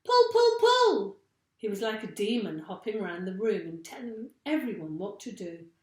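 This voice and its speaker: A woman teacher reading a children's story aloud with expressive narration. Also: mid-range voice, moderate pace. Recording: echoey room.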